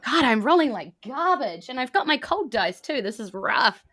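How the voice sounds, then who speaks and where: A woman with a New Zealand accent improvising tabletop game narration as game master, in an animated, informal way. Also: high voice, normal speed, slightly rough, dry recording.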